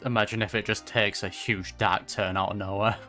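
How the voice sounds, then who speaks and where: A game reaction streamer giving casual commentary in a relaxed, thoughtful, musing way. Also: sits low, normal speed, faint music behind.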